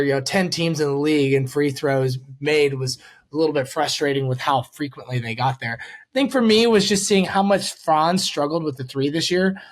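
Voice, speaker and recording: A man hosting a sports podcast in a casual, rambling way, repeating words and correcting himself as he goes. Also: fairly high pitch.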